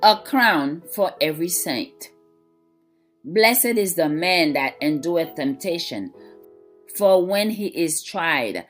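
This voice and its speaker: A woman reads a scripted devotional in a fairly low voice. Her delivery is slow, measured and reverent, with faint music behind her. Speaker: devotional reader. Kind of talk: devotional reading of scripted religious text.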